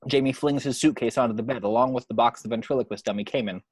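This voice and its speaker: A man with a husky voice reading scripted screenplay action descriptions as narrator. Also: mid-range voice, quick pace, a little mellow, no room sound.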